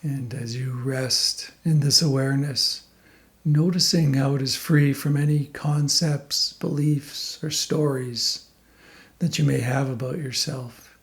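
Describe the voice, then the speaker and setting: A man leading a guided meditation as a teacher, speaking calmly in unhurried phrases with long pauses between them. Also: mid-range voice.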